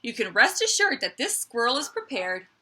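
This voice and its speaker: A woman reading a picture book aloud to children like a teacher, with expressive emphasis and chatty asides. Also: on the high side, normal speed, breathy edge. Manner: expressive, dramatic emphasis, conversational asides to young listeners.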